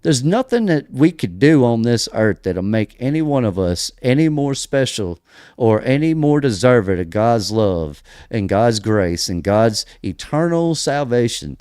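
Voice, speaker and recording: An American man hosting a religious podcast, delivering emphatic commentary full of rhetorical questions, pauses and restarts. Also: medium pitch, big pitch swings, a little mellow, clean tone, no room sound, clean studio sound. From United States. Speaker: podcast host. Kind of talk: preaching-style religious commentary.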